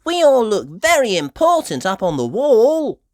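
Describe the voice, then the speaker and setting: A woman's voice: a little high, big pitch swings, unhurried, a little mellow, no room sound, clean studio sound, voice pushed up. A woman voicing an earnest, eager little girl piglet in a children's storybook. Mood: earnest, unsure.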